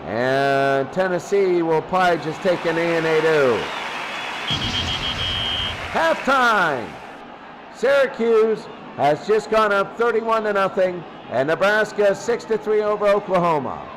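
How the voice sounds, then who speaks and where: A man's voice: high-pitched, expressive, measured pace. A man giving live football play-by-play commentary in short, clipped present-tense calls. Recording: loud noise behind the voice.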